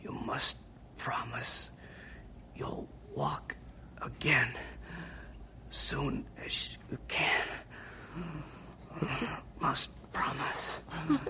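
An actor playing a dying father in a radio drama, weak, tender and devout, with a breathy, whispering voice struggling for air. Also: mid-range voice, wildly swooping pitch, extremely slow, pauses often, heavily acted. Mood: weak, pleading. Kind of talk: old-time radio drama acting. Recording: dull lo-fi old radio recording.

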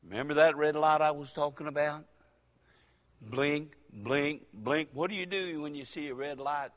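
Older male pastor, folksy sermon delivery, forceful bright tone, long church reverb. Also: on the high side. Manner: folksy preaching with dramatic pauses and sudden loud rises.

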